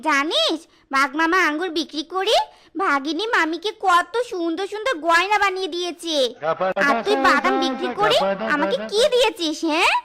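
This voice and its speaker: A performer voicing a sharp-tongued, envious fox wife in a cartoon, nagging dramatically, over loud music. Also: very high-pitched, animated, moderate pace, a loud crowd. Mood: bossy, resentful. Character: shrill, scolding, envious young fox wife. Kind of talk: cartoon voice acting. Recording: loud background music throughout.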